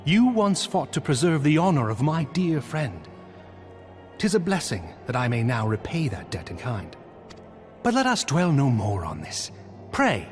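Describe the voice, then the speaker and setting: An actor playing a gracious, loyal nobleman knight in a video game, speaking in courtly, formal phrasing. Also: medium pitch. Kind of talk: scripted video game dialogue.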